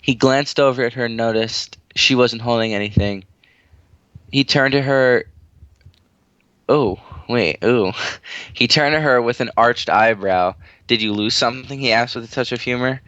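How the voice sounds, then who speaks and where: A man's voice: mid-range voice, moderate pace, fairly bright tone, clean tone, no echo, muffled recording. A man reading a story aloud in a casual, amateur way, crude, giggly and easily sidetracked into banter. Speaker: reader on a comedic story-reading show with friends.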